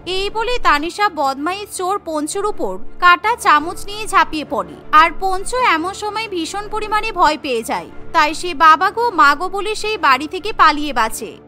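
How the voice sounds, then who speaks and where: Lady narrating a cartoon story, really high bright voice, music behind. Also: expressive, moderate pace.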